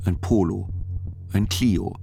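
A man acting as a writer who narrates a trial report in a radio play, measured, sober and precise. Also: deep voice, vocal fry, over music, heavily acted.

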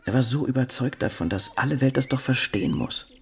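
An actor playing an evasive, anguished young footballer and father in a TV crime drama, speaking haltingly. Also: medium pitch, lively intonation, vocal fry. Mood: sorrowful, hushed. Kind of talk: naturalistic German TV drama dialogue.

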